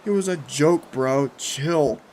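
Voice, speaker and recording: A man acting a cocky, easily rattled young prankster in a horror story, shouting in drawn-out slang. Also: on the high side, slight background noise, pitched above the performer's own voice. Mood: mocking.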